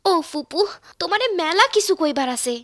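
A spirited little village girl from a cartoon, high-voiced and lively in her melody. She speaks with a rural Bangladeshi accent, earnest and animated, as if eagerly telling a story. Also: a little fast. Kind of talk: cartoon dubbing, expressive storytelling.

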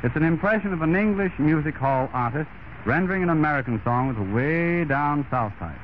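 1930s radio host, muffled noisy recording. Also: male voice, fairly high pitch, expressive, a little fast. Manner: formal, florid showman's phrasing. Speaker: radio show host introducing an act. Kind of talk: 1930s radio announcing, scripted introduction.

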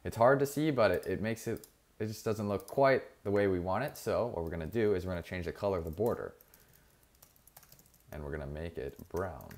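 A man narrating a screen-recorded coding tutorial in a casual, relaxed way, with frequent fillers and self-corrections. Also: deep voice, fairly quick. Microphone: voice-over over screen recording.

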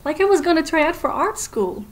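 A woman's voice: high-pitched, sing-song, fairly quick. An actress playing a hurt but determined young woman in an audio drama, confiding and emotional. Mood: anxious, reflective. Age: young adult.

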